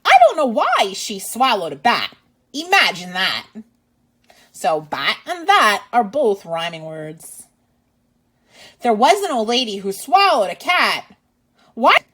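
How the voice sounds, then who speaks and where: A woman's voice: on the high side, huge pitch swings, takes it slowly, pauses often, pushed and bright, slightly rough. A woman reading a storybook aloud to young children as a teacher and host, with exaggerated expression and asides to the listener.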